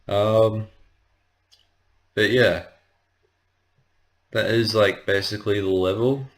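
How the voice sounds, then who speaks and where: A man's voice: fairly low pitch, steady tone, slow. A man giving a casual, rambling let's play commentary, hesitant and often correcting himself as he explains. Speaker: gaming video host.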